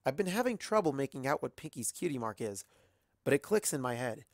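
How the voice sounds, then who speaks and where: Expressive storyteller in a dry, close recording. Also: mid-range voice, brisk, no echo. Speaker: fan fiction narrator. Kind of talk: expressive first-person story narration.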